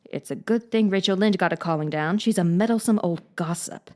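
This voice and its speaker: Shy old male farmer, halting and uneasy, as a woman narrator puts him on. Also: on the low side, dark tone, natural voice. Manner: hesitant, uneasy.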